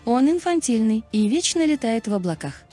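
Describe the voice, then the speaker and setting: A woman narrating a scripted video in a measured, explanatory manner. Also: fairly high pitch, big pitch swings, mellow, faint music behind.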